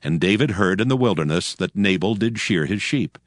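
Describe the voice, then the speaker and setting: A man's voice: low voice, expressive, brisk, no echo, clean studio sound. A man narrating dramatized scripture as a solemn storyteller.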